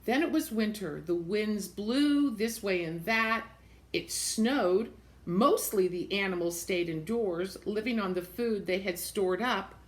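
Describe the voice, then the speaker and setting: Slow-paced woman reading a picture book aloud. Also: mid-range voice. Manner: expressive, repeats phrases, points things out to children. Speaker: storybook reader for young children. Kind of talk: picture-book read-aloud with simple asides explaining the pictures.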